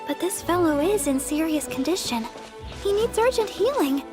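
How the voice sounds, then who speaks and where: A woman's voice: extremely high pitch, animated, moderate pace, over music. A woman voicing a kind, earnest young church healer in a fantasy video game, speaking a little hesitantly in scripted anime style. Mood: reassuring, earnest. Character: young gentle female church deaconess healer in a fantasy adventure.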